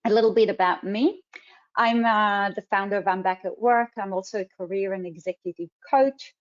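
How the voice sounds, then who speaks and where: A woman with an Israeli accent introduces a webinar in an unhurried, measured way, pausing on fillers. Her voice sits in a middle range. The audio comes through a computer mic on a video call and sounds a little dull. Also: mid-range voice, steady tone. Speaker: webinar host and career coach. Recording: slightly dull online audio.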